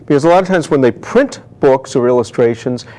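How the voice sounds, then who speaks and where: A man's voice: mid-range voice, animated, a little fast, dark tone. A man talking as a book dealer in an interview, explaining his trade conversationally with everyday examples.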